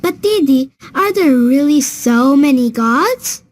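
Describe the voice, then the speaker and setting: A woman acting as a young child in an educational cartoon, with a high voice. The character is eager and curious, asking questions with wide-eyed wonder. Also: animated, slow pace, a little mellow.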